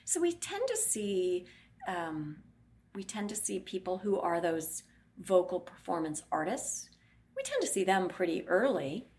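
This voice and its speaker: A woman's voice: on the low side, very animated, a little slow, a little mellow. Blunt, explanatory woman clinician. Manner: blunt, explanatory, acts out patient quotes. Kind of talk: interview answers.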